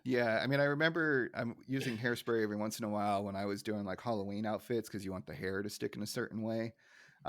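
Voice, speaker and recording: A man's voice: lowish voice, dry recording. A man co-hosting a podcast, chatting casually as he recaps a show. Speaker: podcast co-host. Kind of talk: casual episode recap chat.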